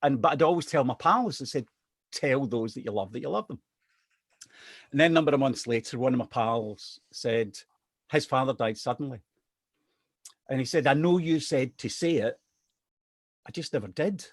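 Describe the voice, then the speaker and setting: A man's voice: medium pitch, expressive, moderate pace, long pauses, no room sound, studio quality. Chatty Scottish-born man telling a story. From Scotland. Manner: chatty, self-deprecating humour, restarts. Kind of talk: casual anecdote telling.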